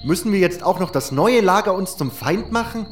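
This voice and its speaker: A man's voice: high-pitched, expressive, brisk, faint music behind, pitched above the performer's own voice. A man voicing an anxious young male mage in an audiobook, hesitant and pleading with his friend. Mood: worried, agitated. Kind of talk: audiobook character voice.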